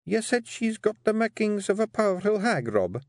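A man's voice: high-pitched, very animated, moderate pace, no echo, clean studio sound, pitched above the performer's own voice. Man doing a sweetly menacing Scottish fairy queen. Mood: firm, resolute. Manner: deceptively meek and sweet, pointed, controlled. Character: quietly dangerous young fairy queen, firm bossy wife. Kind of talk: audiobook character voice.

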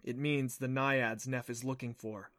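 A man's voice: medium pitch, steady tone, a little slow, fairly bright tone, no room sound, studio quality, natural voice. A man voicing an anxious, sarcastic young male adventurer in a fantasy story, speaking in a wry, informal way. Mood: hushed.

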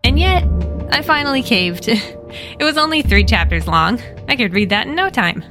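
An American woman hosting a story podcast, retelling a horror manga in a lively, expressive and wry way. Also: a little high, normal speed, music in the background. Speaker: podcast host and narrator.

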